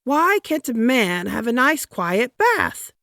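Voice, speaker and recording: A woman's voice: fairly high pitch, sing-song, slow, bright, forceful tone, no echo, studio quality, pitched above the performer's own voice, full character voice. A woman voicing a huge, blustering, exasperated male president from a children's storybook, emphatic and bellowing.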